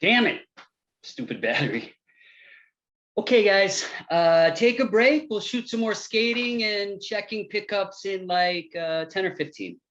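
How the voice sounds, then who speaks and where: A man acts a film director at a table read, flustered yet easygoing. The voice is fairly high for a man and rambling, with a dull, lo-fi sound. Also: animated, measured pace, a bit bright. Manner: rambling, indecisive.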